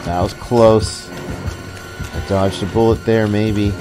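A man with a fairly low voice talks casually through a video game as he streams it. Game music runs clearly behind his commentary. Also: fairly even intonation, slow pace. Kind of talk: casual live gameplay commentary.

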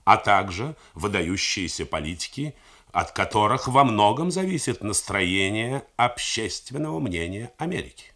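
A man's voice: on the low side, very animated, normal speed, bright, forceful tone, full character voice. A man reading the part of a stately older head of state, speaking in a grave, persuasive manner. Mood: solemn. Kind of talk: radio drama reading.

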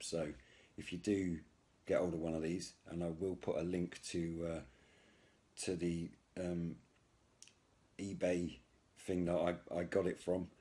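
A British man in middle age speaks in a very deep register. He hosts a casual product demonstration, feeling his way through the explanation with frequent hesitations. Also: warm tone. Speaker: video host, product reviewer.

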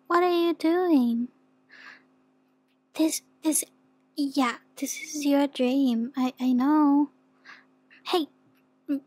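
A woman acts out a timid young female demon in an ASMR roleplay. Her voice is soft and fairly high, sometimes whispery, in a close, dry recording. She speaks hesitantly and very slowly, stumbling shyly over her words. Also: no echo. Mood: flustered. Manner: hesitant, stammering, apologetic. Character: soft, shy, flustered young female demon offering contracts.

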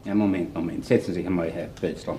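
Man as a mellow, wry Viennese police inspector. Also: sits low, fairly even intonation, slight background noise, lightly acted. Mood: patient, probing. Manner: dry, unhurried, placating. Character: wry, world-weary senior police inspector, patient and shrewd. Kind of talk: radio crime drama acting.

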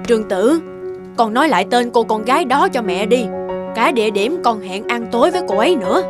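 A woman plays a fretful middle-aged mother in a cartoon, earnest and overprotective. Her voice sits fairly high. Loud music plays behind her. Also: expressive, a little fast, lightly acted. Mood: watchful, uneasy. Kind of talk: animated moral tale dialogue.

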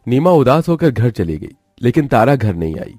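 A man's voice: mid-range voice, very animated, moderate pace, darkish tone, dry recording, clean studio sound, soft background music. A man narrating a scripted moral story as a storyteller.

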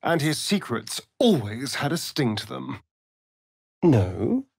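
Sly theatrical vampire with sweeping pitch. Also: male voice, big pitch swings, takes it slowly, creaky, husky, no room sound, clean studio sound, heavily acted. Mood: sardonic, flirtatious. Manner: theatrical, drawling, sardonic. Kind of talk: video game character acting.

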